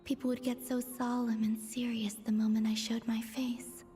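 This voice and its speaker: A solemn goddess of the moon played by a woman muses in a fairly high, soft voice. Her speech is formal and full of quiet wonder, as in a fantasy video game, with music underneath. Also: little pitch movement, normal speed, close to an everyday voice. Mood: curious, musing. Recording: music behind the voice.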